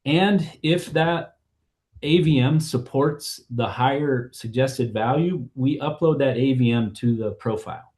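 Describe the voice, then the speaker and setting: A man's voice: medium pitch, unhurried, darkish tone. A man co-hosting a webinar as a company co-founder, explaining the pricing side in an easygoing, casual way. He talks the listener through it step by step and drops into the imagined thoughts of buyers to make his point.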